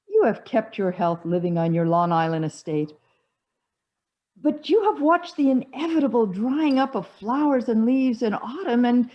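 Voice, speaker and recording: A woman acts out an aging society matron from a stage comedy, proud and jealous of the young. Her voice sits fairly high and swings widely. She is emphatic, imperious and grandly indignant. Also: lo-fi sound. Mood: defiant, dramatic. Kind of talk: stage comedy acting, one-act play.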